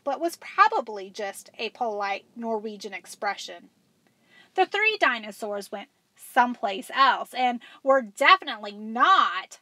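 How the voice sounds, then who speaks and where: An American woman in her forties reading a children's story aloud as a school librarian, animated and emphatic. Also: on the high side, no echo. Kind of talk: expressive storybook read-aloud.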